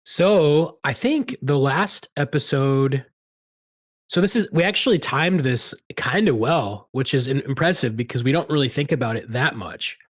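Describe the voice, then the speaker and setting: A man hosting a podcast, chatting casually in a relaxed way with many pauses and fillers. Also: medium pitch. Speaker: podcast host. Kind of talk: casual chat.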